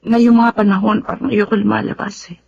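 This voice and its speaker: A woman's voice: mid-range voice, level tone, brisk, creaky, a little mellow, slightly rough. An actress playing a grieving, grateful woman in a Filipino radio drama, speaking in a sincere, heavy-hearted way. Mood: weary, sorrowful. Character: grieving, grateful adult woman, Remedios, orphaned landowner. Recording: dull radio recording with background noise.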